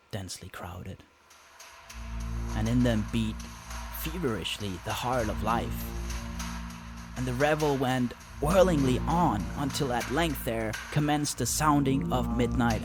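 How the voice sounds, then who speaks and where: Man reading solemnly over loud music. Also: medium pitch. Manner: solemn, deliberate delivery in phrases timed to music. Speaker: reader of a classic horror tale. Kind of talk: dramatic live storytelling over music. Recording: live one-take reading with loud music. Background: loud music under the voice.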